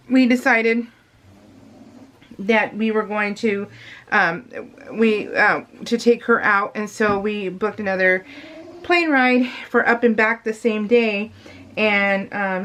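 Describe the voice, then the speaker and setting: A woman's voice: medium pitch, a bit bright. A middle-aged woman with an American accent, rambling casually and giggly as she narrates a vlog, often interrupting herself. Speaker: vlogger. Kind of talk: casual chatty voice-over ramble.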